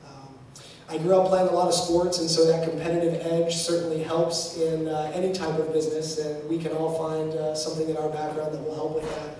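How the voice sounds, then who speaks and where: A man's voice: a little high, monotone, fairly quick, warm tone. A man from the Bay Area telling students about his background at an event, in a conversational way with many fillers and restarts. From San Francisco Bay Area. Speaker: panel speaker. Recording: echoey room, distant mic, faint noise.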